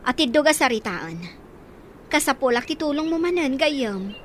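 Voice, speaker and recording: A woman's voice: high voice, expressive, normal speed, a little hiss or hum. An actress playing a concerned, helpful woman in an Ilocano radio drama, speaking in an earnest, conversational way. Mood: earnest appeal. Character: concerned helpful woman taking in orphaned children.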